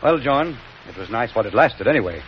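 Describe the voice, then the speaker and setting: A man's voice: mid-range voice, animated, fast talker, close to an everyday voice. An actor playing a wry, chivalrous leading man in a 1940s radio romantic comedy. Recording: muffled, hissy old 1940s radio broadcast.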